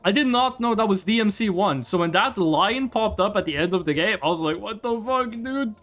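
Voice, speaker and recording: A man's voice: high voice, expressive, fairly quick, a bit bright. A man from Norway, a native Norwegian speaker, chats casually on a game livestream in a rambling, curious way and swears freely. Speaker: game streamer. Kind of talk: casual livestream chat over gameplay.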